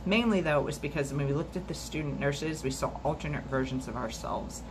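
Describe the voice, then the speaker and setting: A woman reading a memoir aloud for a storytime video at a steady, measured pace, now and then stumbling and restarting a word. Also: low voice, noisy background. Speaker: storytime reader.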